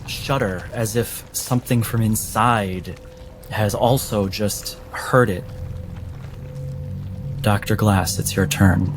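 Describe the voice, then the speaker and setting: A man narrating a tabletop horror game as game master, deliberate and suspenseful, often breaking his phrases for drama. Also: on the low side. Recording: music behind the voice.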